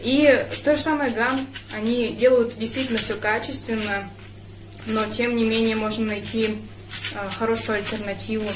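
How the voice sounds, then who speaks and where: A young woman from Russia talks through viewer questions in a relaxed vlog, pausing often to think. Her voice sits a little high. The recording has a roomy echo and a faint background hiss. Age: young adult. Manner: thoughtful, hesitant with fillers. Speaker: speedcuber vlogger. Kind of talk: casual Q&A vlog.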